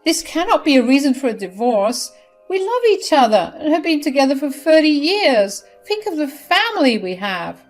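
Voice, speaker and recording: A desperate middle-aged mother-in-law begs in a high, shrill voice, as a woman narrator puts her on. She sounds loud and pleading. Faint music plays under the reading. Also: lively intonation, measured pace.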